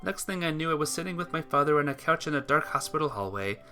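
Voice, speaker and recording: A bright, forward voice narrates a sentimental story in earnest. The reading trips slightly now and then, and music plays behind it. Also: medium pitch, expressive, quick pace. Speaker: visual novel player reading the text aloud.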